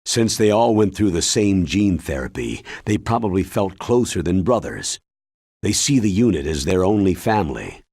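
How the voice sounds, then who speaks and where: A man playing a grave, authoritative military commander in a video game, briefing in a deliberate, emphatic way with a husky voice. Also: low voice, very animated, a little mellow, no room sound, clean studio sound, full character voice. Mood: measured, explanatory. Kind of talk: video game cutscene acting.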